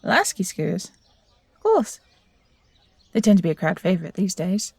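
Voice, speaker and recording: A woman's voice: lowish voice, sing-song, normal speed, gravelly, a little mellow, slightly rough, no echo, exaggerated voice. Woman playing food stall vendor, friendly, breezy, welcoming, dry close recording, fantasy roleplay. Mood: cheerful, welcoming. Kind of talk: scripted audio roleplay.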